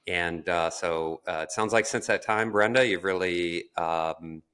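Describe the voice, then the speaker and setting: A man speaking as a podcast co-host in casual conversation, with a halting delivery full of fillers. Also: low voice, sharp tone, clear, resonant tone. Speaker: podcast co-host and marketing agency founder.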